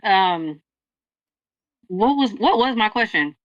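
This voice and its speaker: A woman's voice: mid-range voice, animated, slow, no room sound, lo-fi sound. A woman interviewer asking questions in a casual interview over a call, chatty and loose, often fumbling and restarting her questions.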